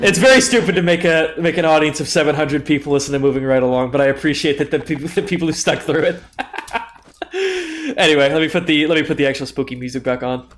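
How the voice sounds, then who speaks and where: A man's voice: on the high side, quick pace, faint music behind. A young man with a stuffy nose, tired and self-deprecating, rambling through casual commentary on a live game stream.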